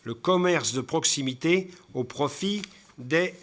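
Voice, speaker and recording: A man giving a formal report as a local councillor, reading out points haltingly, stumbling and repeating words as he goes. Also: on the high side, fairly bright tone. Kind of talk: formal presentation read from slides with spoken asides.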